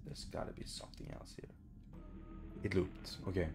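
A young man with a low voice and plenty of fry in it, commentating on a game. He talks casually and swears freely, with faint music behind him. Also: expressive, normal speed. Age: young adult. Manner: sweary, informal. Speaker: gaming video creator. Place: small bedroom, indoors.

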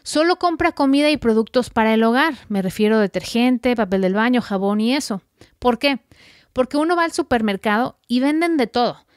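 A woman's voice: on the high side, animated, brisk, no echo, studio quality. A woman hosting a podcast, giving practical money-saving tips in a friendly, chatty, direct way. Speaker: podcast host. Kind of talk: casual advice podcast monologue.

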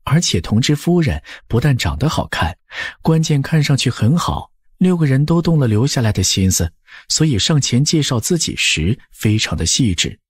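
A man's voice: mid-range voice, very animated, normal speed, breathy edge, no echo, clean studio sound. A man narrating a historical novel as a scripted audiobook.

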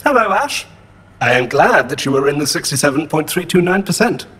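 A man's voice: a little high, sing-song, fairly quick, fairly bright tone, breathy edge, exaggerated voice. A man playing a polite, literal-minded robot companion in an audio drama, speaking precisely and formally. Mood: earnest, matter-of-fact.

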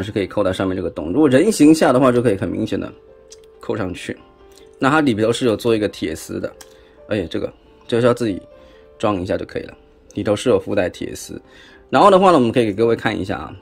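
A man's voice: mid-range voice, gravelly, husky. A man with a Taiwanese accent giving a chatty, casual hands-on toy review, often tossing in rhetorical questions. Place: indoors at a tabletop.

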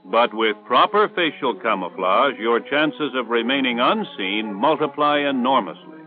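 An American man reading scripted instructional narration for a 1960s military training film in a measured, authoritative way. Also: medium pitch, lively intonation, soft background music. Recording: tinny band-limited 1960s film soundtrack.

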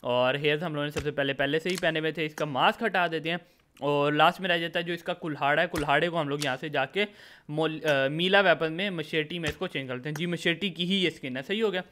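Man, Pakistani accent, fairly high-pitched, gaming host, casual voice-over, English words mixed in. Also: fast talker, pushed and bright, a little hiss or hum. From Lahore, Pakistan. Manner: informal, mixes in English words. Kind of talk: casual game commentary voice-over.

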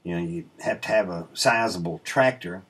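A middle-aged man with a low, husky voice talks through his sawmill footage in a relaxed, rambling way. He explains each step plainly, filling pauses with ums and uhs. He sounds like he is seated at his desk, speaking into a webcam mic. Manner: laid-back, explanatory, rambling with many "um" and "uh". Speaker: sawmill channel host. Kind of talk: casual voice-over commentary on footage. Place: indoor room, voice-over recorded away from the sawmill. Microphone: webcam inset, seated at a desk.

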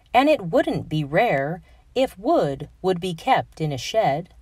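Female children's read-aloud narrator, unhurried and very animated, careful diction, dry close sound. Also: medium pitch, no room sound.

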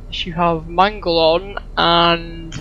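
A woman's voice: fairly low pitch, little pitch movement, takes it slowly, dull audio, noisy background. A woman giving casual gameplay commentary in an offhand, muttering way. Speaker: gamer. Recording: loud game audio and music behind. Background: video game music and sounds.

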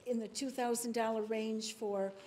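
Woman, audience questioner, polite, monotone, slightly high. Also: moderate pace, slight background noise.

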